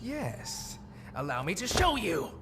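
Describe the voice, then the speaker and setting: A woman playing a mocking, menacing dark being that possesses a young man, speaking theatrically in an audio drama. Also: medium pitch, sing-song, takes it slowly. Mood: threatening. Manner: theatrical, taunting. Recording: music under the voice.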